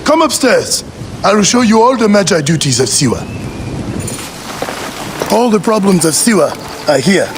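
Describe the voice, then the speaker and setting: An actor playing a frank, weary village protector in a video game cutscene, speaking emphatically. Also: male voice, high-pitched, big pitch swings, normal speed, pauses often, noisy background.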